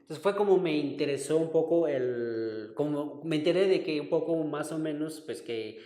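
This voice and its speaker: Calm professor guy, q'anjob'al native, mellow voice, pauses to find words, roomy echo. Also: fairly high pitch. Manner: thoughtful, unhurried, searching for words. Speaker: linguistics professor and researcher. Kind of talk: interview answers, personal recollection. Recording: roomy recording with long reverb.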